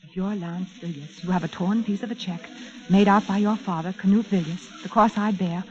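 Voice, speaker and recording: A woman's voice: medium pitch, fairly even intonation, moderate pace, natural voice. An actress playing an anxious, determined young woman in an old-time radio drama, speaking with earnest, emphatic urgency. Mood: pleading, urgent. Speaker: lead actress. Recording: muffled vintage radio recording, music behind.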